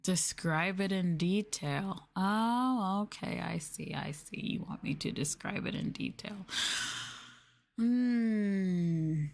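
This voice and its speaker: A woman's voice: medium pitch, takes it slowly, vocal fry, a little mellow. A woman streamer doing an ASMR roleplay, talking down to her listeners in a mocking, teasing, sultry way. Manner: condescending, mocking, sultry taunting. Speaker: streamer, VTuber host.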